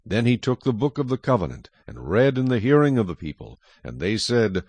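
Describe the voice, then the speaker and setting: A man narrating scripture in a measured, solemn, scripted style for a dramatized audio Bible. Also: lowish voice, very animated, darkish tone, breathy edge, no echo.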